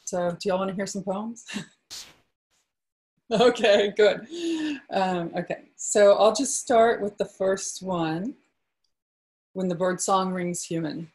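A woman reading her poems at a book launch, slow and soft-spoken, with gentle fillers between readings. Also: mid-range voice. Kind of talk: poetry reading with casual asides.

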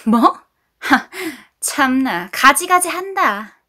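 A woman's voice: high-pitched, big pitch swings, very slow, bright, forceful tone, exaggerated voice. A woman voicing a blunt, scornful young woman who swears and talks down to others. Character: harsh, foul-mouthed young woman.

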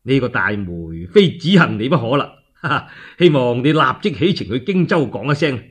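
A man's voice: medium pitch, sing-song, normal speed, slightly rough. Man playing emphatic, commanding Cantonese ruler. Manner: authoritative, emphatic. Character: commanding male ruler, quick to scold and scheme.

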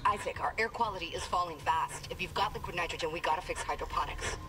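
A woman's voice: lowish voice, quick pace, natural voice. Woman as an urgent technician, distant on comms. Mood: urgent, worried. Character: frustrated, urgent female crew technician on comms. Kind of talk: naturalistic video game acting. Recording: distant comms with music behind.

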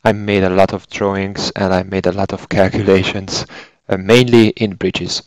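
A man in his forties with a Hungarian accent, narrating an instructional course in a methodical, explanatory way as a software trainer. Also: deep voice, level tone, moderate pace, no room sound, rough recording. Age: 40s. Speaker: software trainer and consultant engineer.

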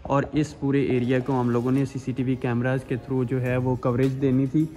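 A man's voice: mid-range voice, moderate pace. Young man explaining a site, echoey hall. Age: young adult. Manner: steady explanatory tone with frequent hesitation fillers. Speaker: security installer and channel host. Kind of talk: on-site technical walkthrough. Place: large empty mall interior under construction, indoors. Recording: roomy echo from far off, music behind.

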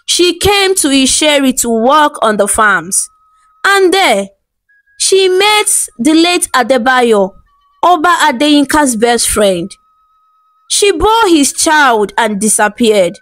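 A woman telling a scripted folktale as narrator, in a measured, dramatic storybook delivery. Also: sits high, very animated, fairly bright tone, dry recording, low-quality recording. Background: background music.

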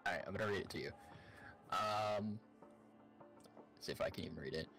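A man's voice: sits low, fairly quick, creaky, bright, forceful tone, soft background music. A man talking casually as a co-op game partner, describing things as he plays. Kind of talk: casual chat, describing puzzle symbols.

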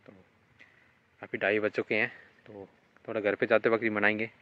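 Young male vlogger, low flat voice, chatty to camera, outdoor phone audio with a dull lo-fi sound. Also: normal speed. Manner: relaxed, chatty, addressing viewers directly. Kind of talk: casual vlog talk to camera. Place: outdoors, rural fields and village rooftop. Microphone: phone held at arm's length.

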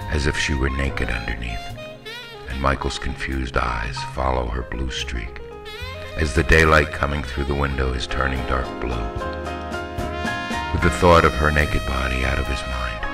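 A man with an extremely low voice narrates literary fiction in the manner of an audiobook. The reading is scripted prose, and music plays clearly behind the voice.

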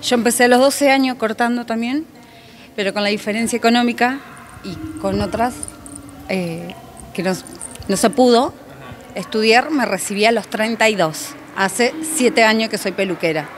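Proud Argentine mother, fairly high voice. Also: normal speed, a little hiss or hum. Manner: proud, talkative storytelling. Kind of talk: interview answers. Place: indoor school hall.

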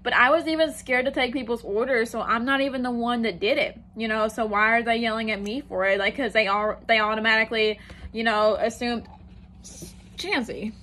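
Rambling young woman, bright voice. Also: a little high, steady tone, brisk, a little hiss or hum. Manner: rambling, lots of "like" and "you know". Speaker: vlogger. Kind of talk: casual storytime. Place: small room indoors, sitting on the floor.

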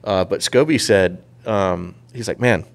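A man hosting a podcast in casual chat, speaking informally and haltingly, often repeating phrases. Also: low voice, creaky. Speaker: podcast host.

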